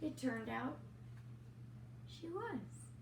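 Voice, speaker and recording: Animated high-voiced woman reading a story. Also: very slow, creaky, reverberant, distant mic, noisy background. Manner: expressive, chatty asides to a dog. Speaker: storybook reader, teacher. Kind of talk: read-aloud children's story narration.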